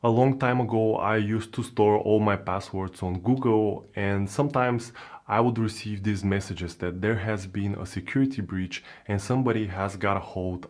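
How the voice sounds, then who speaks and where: A man with a Bulgarian accent, a native Bulgarian speaker, explaining online security step by step in a plain teaching manner with frequent fillers. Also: on the low side. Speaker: crypto security educator. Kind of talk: explainer video narration.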